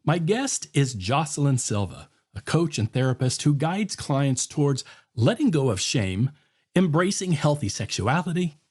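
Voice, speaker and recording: A man hosting a podcast, reading an earnest, measured introduction. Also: medium pitch, a little mellow. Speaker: podcast host.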